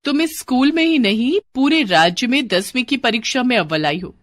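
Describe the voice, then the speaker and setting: A woman's voice: on the high side, lively intonation, moderate pace, dry recording, voice pushed up, lightly acted. A woman playing a kind schoolteacher in a moral story, speaking in a proud, encouraging manner. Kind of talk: acted dialogue in a moral story.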